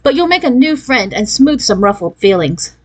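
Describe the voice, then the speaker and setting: A woman's voice: fairly high pitch, very animated, normal speed, husky, voice pushed up. A woman voicing a trendy, self-assured young girl from California in a children's storybook, breezy and casually boastful. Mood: confident, advising.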